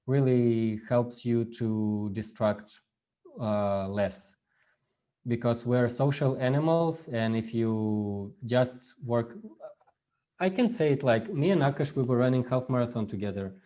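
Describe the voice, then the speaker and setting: A man whose first language is Russian gives an informal workshop over a video call, speaking haltingly with many fillers and restarts. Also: mid-range voice, dark tone. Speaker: workshop presenter. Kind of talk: informal online workshop talk.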